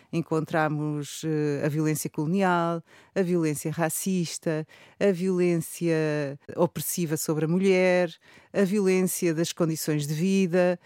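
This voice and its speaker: A woman's voice: sits low, darkish tone, no echo, clean studio sound. A woman researcher explaining a project on the radio, thoughtful and a little hesitant. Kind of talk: reflective radio talk.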